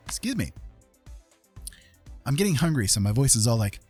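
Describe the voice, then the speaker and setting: A man's voice: medium pitch, very animated, unhurried, darkish tone, slightly rough. A man chatting casually to viewers as a live streamer, rambling along in an easygoing, informal way. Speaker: former radio host. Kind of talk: casual livestream chat with viewers. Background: music playing.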